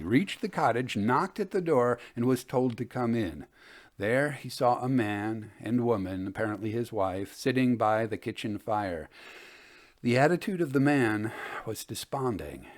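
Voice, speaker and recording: Man storytelling with very varied pitch. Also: animated, unhurried. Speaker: host and reader of a ghost story podcast. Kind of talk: storytelling, reading Victorian tales aloud.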